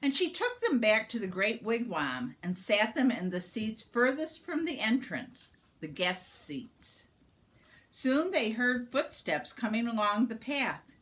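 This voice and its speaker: A woman narrates a storybook for a young child. Her pace is slow and deliberate, and her pitch moves widely for dramatic, expressive effect. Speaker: storyteller reading a picture book aloud to a young child.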